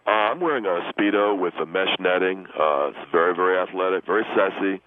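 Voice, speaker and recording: A man's voice: low-pitched, brisk, no room sound, pitched below the performer's own voice, lightly acted. A man voicing a vain, cocky young ladies' man in improvised radio comedy, boasting earnestly and hesitating with lots of uhs. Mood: smug boasting. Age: young adult. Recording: dull lo-fi radio phone-in sound.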